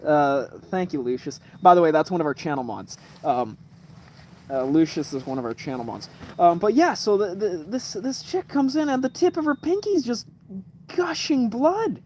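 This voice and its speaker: A man's voice: high-pitched, animated, normal speed, darkish tone, slight background noise. A man in his twenties telling rambling stories on a casual livestream, swearing, with lots of fillers and restarts. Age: 20s. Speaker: livestream host. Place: room lit by kerosene lamp at night.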